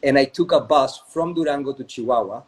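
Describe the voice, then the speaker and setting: A man's voice: medium pitch, brisk. A man from Chile, a spirits company co-founder, telling a long story in a casual interview over a video call, often checking in with "right?". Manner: chatty, often adds "right?".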